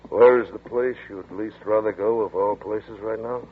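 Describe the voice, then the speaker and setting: A man's voice: fairly low pitch, fairly even intonation, normal speed, a little hiss or hum, lightly acted. A man voicing a tough, weary detective friend who talks in a blunt, matter-of-fact way, in 1940s radio drama. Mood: gruff. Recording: tinny old radio broadcast.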